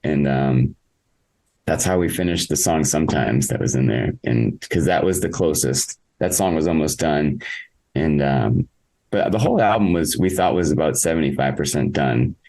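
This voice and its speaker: Very deep-voiced man, reflective interviewee. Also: lively intonation, mellow. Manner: halting, reflective, thinking aloud. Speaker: interviewed musician, singer in a band. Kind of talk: casual interview talk.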